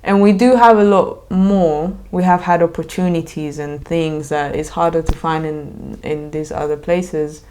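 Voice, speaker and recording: Low-voiced reflective woman podcaster. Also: fairly even intonation, unhurried, hardly any pauses, warm tone. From Colombia. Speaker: podcast co-host. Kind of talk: casual chat.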